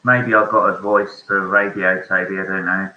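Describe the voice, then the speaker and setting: A man hosting an online pub quiz, reading each question slowly and repeating it. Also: low voice, flat delivery. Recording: echoey room, dull lo-fi sound.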